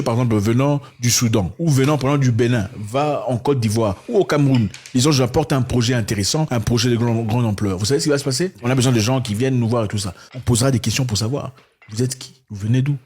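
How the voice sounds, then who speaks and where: An impassioned man arguing his case in a discussion, his voice a little husky and rough. He talks in an animated way, sometimes jumping into a high, exaggerated voice to mimic others. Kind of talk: impassioned conversation.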